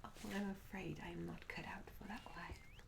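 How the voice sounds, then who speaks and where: A woman playing a curious, polite medieval tavern maid in an ASMR roleplay, speaking in a soft, breathy whisper with gentle, hesitant, old-fashioned courtesy. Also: fairly low pitch, fairly even intonation, moderate pace. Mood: gentle, apologetic. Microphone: close ASMR microphone. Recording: close whisper over audible ambience noise. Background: candlelit tavern ambience.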